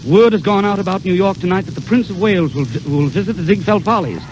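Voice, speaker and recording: High-voiced man, dramatic old-time radio announcer. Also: quick pace, a little mellow. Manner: dramatic, theatrical showman delivery. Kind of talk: 1930s radio show narration. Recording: noisy, muffled 1932 radio recording.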